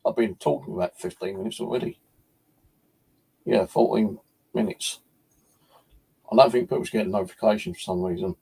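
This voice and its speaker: Low, husky British man, gravelly. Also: normal speed, pauses often, dark tone, poor audio. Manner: rambling, hesitant, informal. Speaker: paranormal livestream host. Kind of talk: casual livestream chat.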